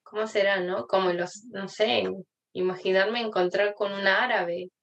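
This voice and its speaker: A woman speaks as an interview guest at an everyday middle pitch. She tells her story in a calm, measured, conversational way. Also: lo-fi sound. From Argentina.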